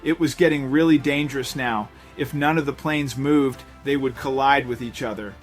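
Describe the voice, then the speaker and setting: A man narrating a scripted dramatic story in a measured, suspenseful way. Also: medium pitch, fairly even intonation. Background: background music.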